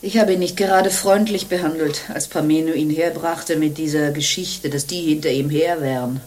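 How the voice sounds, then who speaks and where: An actress in a German radio play playing a proud, scornful young nun who speaks emphatically. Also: female voice, sits low, fairly even intonation, normal speed, a little mellow, natural voice. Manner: emphatic, haughty, declamatory.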